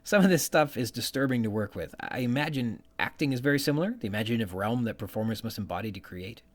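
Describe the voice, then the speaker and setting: A man's voice: mid-range voice, animated, quick pace. A man hosting a podcast, giving bookish literary commentary from prepared notes and quoting passages as he goes. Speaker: podcast host.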